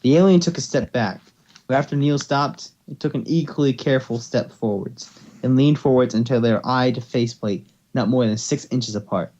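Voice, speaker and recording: A man's voice: medium pitch, brisk, darkish tone, lo-fi sound. A man narrating amateur fan fiction, now and then stumbling over words as he reads. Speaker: story narrator.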